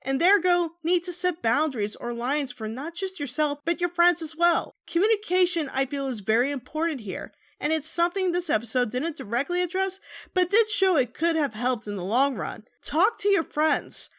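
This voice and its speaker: A woman with a very high voice gives scripted commentary as a guest reviewer. Her intonation is very lively and swings up and down. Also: normal speed.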